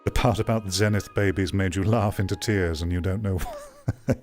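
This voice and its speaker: A man's voice: sits low, fairly even intonation, moderate pace, darkish tone, faint music behind. A man in his thirties giving blunt, off-the-cuff critical commentary as a streamer, feeling out his phrasing as he goes. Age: 30s. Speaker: streamer reacting to a video.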